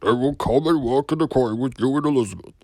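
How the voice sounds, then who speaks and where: A man's voice: medium pitch, fast, dark tone, no echo, voice pushed up, lightly acted. Sir Topham Hatt, a man doing a stern boss. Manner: stern, firm. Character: stern, cross railway boss, middle-aged man. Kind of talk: storybook character voice.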